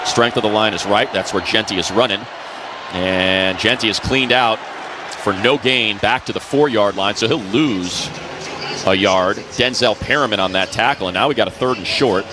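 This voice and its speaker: A man's voice: on the low side, moderate pace. A man calling live football play-by-play on the radio in clipped phrases, following each play as it happens. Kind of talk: live radio sports commentary. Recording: noisy live stadium broadcast.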